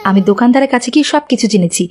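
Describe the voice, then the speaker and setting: A woman's voice: fairly high pitch, lively intonation, very fast, darkish tone, faint music behind, natural voice. A woman playing a stern but caring mother in a children's moral cartoon, scolding and preaching. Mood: stern, preachy.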